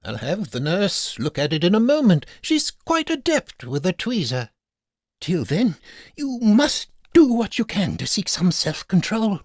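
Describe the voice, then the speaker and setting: A man's voice: sits high, wildly swooping pitch, a little slow, no room sound, studio quality, exaggerated voice. Man playing a theatrical, solemn period physician. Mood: alarmed, urgent. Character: grave, formal, fussy male Victorian physician.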